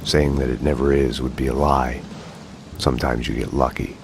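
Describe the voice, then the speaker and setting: An actor playing a brooding, world-weary hardboiled detective, narrating a video game in grave, poetic monologue. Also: male voice, extremely low pitch, little pitch movement, moderate pace, background noise. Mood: grim, reflective. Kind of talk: scripted noir game narration.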